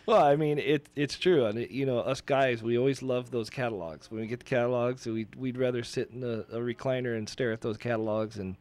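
A man's voice: mid-range voice, steady tone, fairly quick, few pauses, a little mellow. A man hosting a radio show, chatting casually and laughing easily into a studio microphone.

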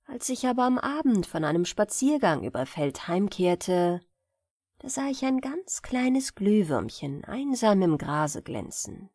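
Slow, expressive woman reading fairy tales. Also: on the high side, no echo, studio quality. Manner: measured, expressive storytelling. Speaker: audiobook reader. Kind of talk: scripted fairy-tale narration for bedtime.

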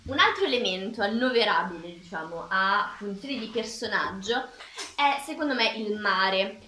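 A woman's voice: mid-range voice, expressive, moderate pace, bright, forceful tone. A young woman casually reviewing a book to camera in a home kitchen, often searching for her words. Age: young adult, about 21. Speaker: vlogger reviewing a graphic novel. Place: small tiled home kitchen, indoors. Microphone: camera across the table. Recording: echoey tiled kitchen, clear background noise.

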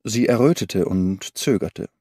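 A man narrating an audiobook in an even, measured reading. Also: fairly low pitch, breathy edge, dry recording, clean studio sound. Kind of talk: scripted narration.

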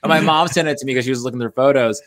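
Self-deprecating man in his thirties, halting chat. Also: mid-range voice, a bit bright. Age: 30s. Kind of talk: casual chat, telling anecdotes. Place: indoors on a group video call.

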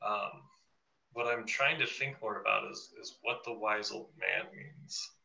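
A man's voice: on the low side, measured pace, vocal fry, bright, forceful tone. A young man in a group video call, thoughtfully working through an interpretation of a dream. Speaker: participant. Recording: voice distant from the mic.

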